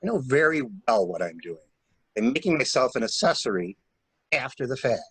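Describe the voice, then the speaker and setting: A man's voice: medium pitch, very animated, brisk, a bit bright, husky, dry recording. A man playing a cool, insistent stranger in an amateur dramatic reading of a murder mystery. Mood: wry, reasoning. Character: cool, insistent male stranger scheming to shield a suspect. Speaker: library staff reader.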